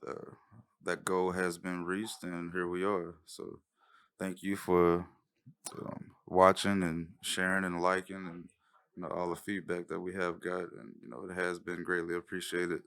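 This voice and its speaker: A man giving a casual introduction as a writer-director, speaking in a hesitant, halting way. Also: deep voice, monotone, fairly bright tone, clean tone. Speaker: writer-director presenting a recording.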